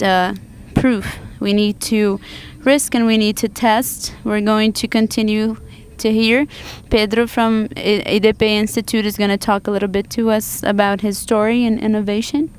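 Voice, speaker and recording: A woman with a smooth, clear voice of middle pitch works as a live interpreter, rendering a panel discussion into English from a conference booth. She keeps stopping mid-phrase, backing up and correcting herself as she tries to keep pace with the speakers. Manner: halting, frequent restarts and self-corrections.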